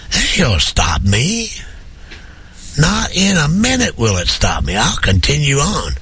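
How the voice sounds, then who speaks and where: A man preaching fervently on a program while hoarse with a head cold, building his points through emphatic, rising repetition. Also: medium pitch, fairly bright tone. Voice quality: husky.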